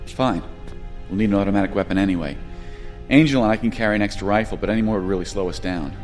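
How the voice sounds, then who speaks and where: A man's voice: on the low side, fast talker, darkish tone, close to an everyday voice. A man playing a practical, matter-of-fact soldier in a zombie audio drama. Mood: brisk, practical. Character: practical, quick-spoken male soldier in a zombie outbreak. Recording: reverberant hall, music underneath.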